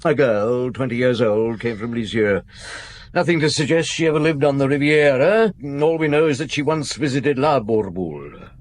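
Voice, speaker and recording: Radio drama detective, male actor playing the calm chief inspector; steady, probing, in command. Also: mid-range voice, natural voice. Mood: questioning. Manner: measured, patient, authoritative questioning. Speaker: detective questioning witnesses. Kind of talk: 1950s-set radio drama acting.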